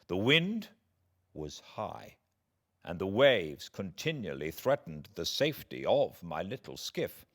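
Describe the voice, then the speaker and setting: A British man narrates a classic novel in a low voice. His pace is very slow and deliberate, with theatrical pauses and swells that give the literary prose dramatic weight. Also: sing-song, fairly bright tone. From Britain. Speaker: audiobook narrator. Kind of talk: dramatic literary audiobook reading.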